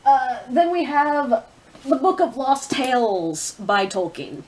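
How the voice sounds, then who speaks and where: A woman's voice: sits high, animated, takes it slowly, background noise. A woman chatting informally and giving her opinions as a book reviewer in a vlog, with casual swearing.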